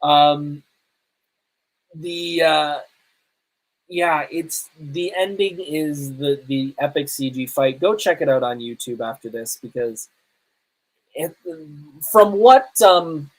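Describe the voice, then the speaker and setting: Give a mid-pitched podcast host reviewing movies in a casual, conversational way. The host speaks as an opinionated fan, laying out views informally. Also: slow, long pauses, rough recording. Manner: opinionated self-confessed fan.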